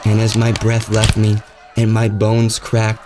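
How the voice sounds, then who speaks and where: A man narrating a first-person horror audio drama, his voice climbing higher and tighter as the dread builds. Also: completely flat delivery, measured pace, background noise.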